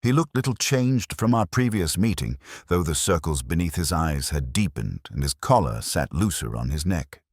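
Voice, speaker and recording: A man with a very deep voice narrates a story in a close, dry sound. His delivery is solemn and dramatic, with lively rises and falls in pitch. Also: moderate pace, few pauses, husky, no echo. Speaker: audiobook narrator.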